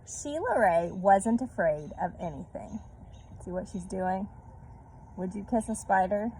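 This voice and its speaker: A woman reads a picture story aloud at an unhurried pace, with noticeable creak in her voice. She breaks off for chatty asides and checks in with small tag questions. Faint noise sits behind her. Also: medium pitch, lively intonation, mellow, poor audio.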